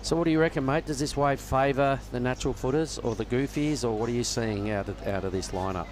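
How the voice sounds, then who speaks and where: A man giving relaxed, knowledgeable live surf contest commentary from a judging tower. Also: mid-range voice, fast talker. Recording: noisy outdoor ambience with traffic.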